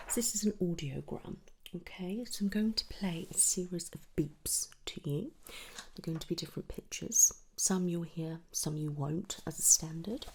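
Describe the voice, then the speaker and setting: A woman doing an ASMR medical roleplay as an audiologist, gently and reassuringly moving between breathy whispers and soft speech. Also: on the low side. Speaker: ASMR performer playing an audiologist.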